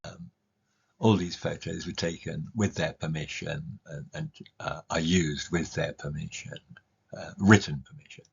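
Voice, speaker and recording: A man's voice: extremely low pitch, steady tone, unhurried, creaky, slightly rough, lo-fi sound. An older man, an English teacher, giving an informal recorded slide talk in a hesitant manner, often repeating words as he explains.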